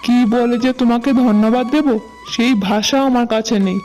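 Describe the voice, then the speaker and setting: Cartoon village husband, played by a woman: humble vegetable seller, soft dark tone, earnest, music beneath. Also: a little high, steady tone, normal speed, lightly acted. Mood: grateful. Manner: earnest, plaintive.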